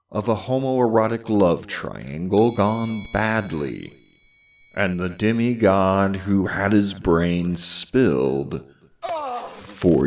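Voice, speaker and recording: A man presenting a scripted mythology tale like a history professor, deliberately, with dramatic pauses and dry, tongue-in-cheek humor. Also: sits low, gravelly, far from the mic, a little hiss or hum. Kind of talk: scripted storytelling narration of mythology.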